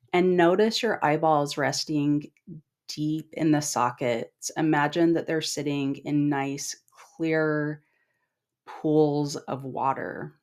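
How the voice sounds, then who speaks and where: A middle-aged woman leading a guided meditation in a calm, gentle, instructing manner with long pauses. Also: low voice. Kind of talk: guided body scan meditation.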